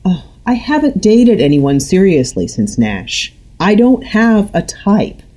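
A woman's voice: medium pitch, big pitch swings, slow, dark tone, voice pushed up. A woman voicing a tense adult daughter who answers in a clipped, defensive, strained way.

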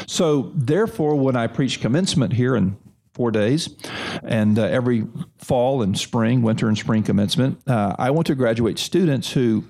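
A man's voice: medium pitch, creaky, a little mellow. A man of forty, a seminary leader, answering interview questions in an earnest, repetitive, preacherly way. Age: 40s. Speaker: seminary president.

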